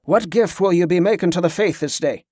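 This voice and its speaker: A man's voice: high-pitched, brisk, warm tone, no room sound, clean studio sound. Man voicing an imposing, presumptuous warrior priest, thickly accented. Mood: commanding welcome. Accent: thick fictional foreign accent. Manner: clipped inverted syntax, commanding requests.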